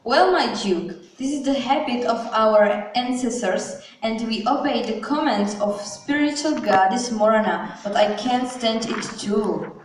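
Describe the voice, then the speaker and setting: A woman's voice: a little high, unhurried, hardly any pauses, slight background noise, lightly acted. A woman playing a deferential, placating companion to a duke in an amateur puppet show. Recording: very echoey hall, distant mic.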